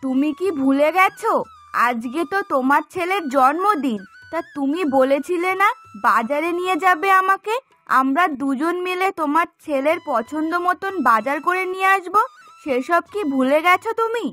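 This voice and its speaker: A woman's voice: high voice, lively intonation, normal speed, sharp tone, faint music behind. A woman voicing an eager, dutiful young daughter-in-law in a cartoon, with a chatty, practical, coaxing manner. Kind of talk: cartoon story dialogue.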